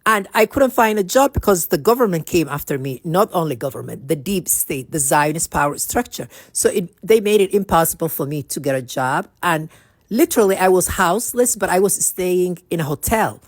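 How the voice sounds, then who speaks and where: A woman's voice: on the low side, expressive, normal speed, no echo, clean studio sound. A woman from Somalia giving a personal monologue as a vlogger, speaking emphatically and repeating phrases for stress. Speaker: vlogger telling her own story.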